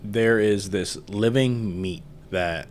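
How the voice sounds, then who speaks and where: A man's voice: on the low side, slow pace. A man hosting a podcast in casual, informal conversation. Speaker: podcast host. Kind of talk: casual chat.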